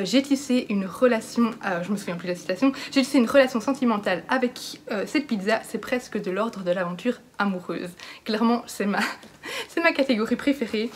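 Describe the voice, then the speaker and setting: A woman's voice: medium pitch, a little hiss or hum. Chatty, hesitant woman book vlogger. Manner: hesitant, many fillers and self-corrections. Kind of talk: casual book talk to camera.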